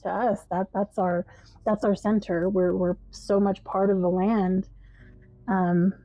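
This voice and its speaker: Heartfelt woman in her forties, mellow. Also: medium pitch, level tone, takes it slowly, no room sound, dull audio, soft background music. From Palm Springs, California. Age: 40s. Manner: heartfelt, repeats words. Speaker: interviewee. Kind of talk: documentary interview.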